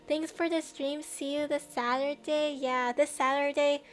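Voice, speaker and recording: A woman's voice: sits high, steady tone, measured pace. A woman streamer chatting casually with viewers, rambling, trailing off and laughing often, her voice a little tired. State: voice getting tired. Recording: faint music behind.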